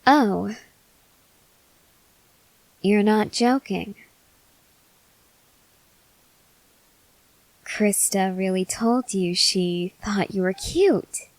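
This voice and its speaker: A woman playing a possessive, softly menacing female vampire lover in an intimate, measured ASMR roleplay that slips into breathy whispers. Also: medium pitch, dry recording, low-quality recording. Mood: jealous disbelief. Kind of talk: ASMR roleplay acting, addressed to the listener.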